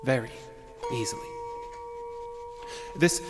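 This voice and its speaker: An actor playing an agitated, arrogant man with violent urges, narrating his journal in a deliberate, formal way for an audio drama. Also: very high voice, wildly swooping pitch, full character voice. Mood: scheming. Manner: pompous, deliberate, brooding, formal phrasing. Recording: long reverb, music underneath.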